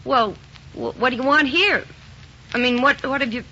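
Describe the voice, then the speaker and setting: An actress playing a distressed but hard-boiled gangster's wife in a 1940s radio crime drama. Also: female voice, high voice, normal speed, bright, forceful tone. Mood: alarmed. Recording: dull, hissy 1940s radio recording.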